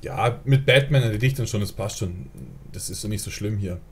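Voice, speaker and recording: A man's voice: lowish voice, fairly quick, slightly rough. A man, live streaming a life-simulation game, rambling casually and answering chat with lots of fillers. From Germany. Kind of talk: casual gaming livestream chat.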